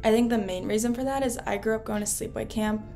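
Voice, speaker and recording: A woman's voice: on the high side, fairly even intonation, fast, gravelly, mellow, soft background music. A young woman from New Jersey, a college freshman, giving a casual, thoughtful account of her first semester. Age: young adult. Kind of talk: casual testimonial.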